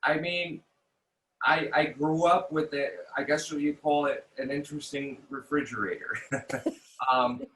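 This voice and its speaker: American male chef, bright forceful voice, casual interview guest who doubles back on his words, roomy echo. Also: lowish voice. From New York City, United States.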